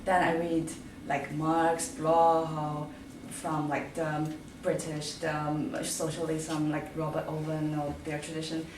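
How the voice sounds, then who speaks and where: A woman's voice: low voice, monotone, slow pace. A woman from China with a Chinese accent, introducing herself as a PhD student at an informal seminar. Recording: echoey room, distant mic, loud noise.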